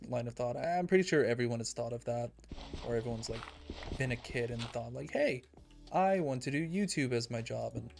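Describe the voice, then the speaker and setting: A young man streaming a building game, talking calmly and evenly in a soft, soothing voice he calls monotone. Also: mid-range voice. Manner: calm, soft-spoken, self-described monotone. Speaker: Minecraft streamer. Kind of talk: casual livestream chat while building in a game.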